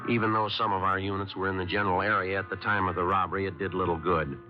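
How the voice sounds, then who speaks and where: An actor playing a terse police sergeant who narrates his cases in a clipped, flat deadpan, from a muffled 1950s radio drama. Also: low voice, fast talker, over music, natural voice. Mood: matter-of-fact.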